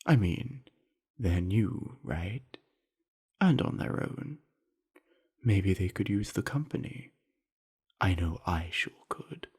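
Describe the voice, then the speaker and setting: A man playing a friendly, earnest young orc university jock in an ASMR roleplay, chatting easily in a gravelly, husky voice with musing pauses. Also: low-pitched, expressive, a little mellow, heavily acted. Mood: curious musing. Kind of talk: scripted ASMR roleplay addressed to the listener.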